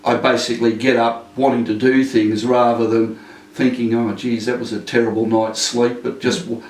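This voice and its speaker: Older man, flat and rambling. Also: mid-range voice, normal speed. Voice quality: loud voice. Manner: rambling, many fillers and restarts. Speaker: interviewee. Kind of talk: casual interview. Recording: echoey room, mic at a distance.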